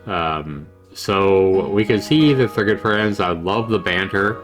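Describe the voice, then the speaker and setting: A man with a fairly low voice talks through a video game in a casual, conversational way. He switches between relaxed remarks and reading the story text aloud. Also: slow pace. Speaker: game reviewer and streamer. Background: game music.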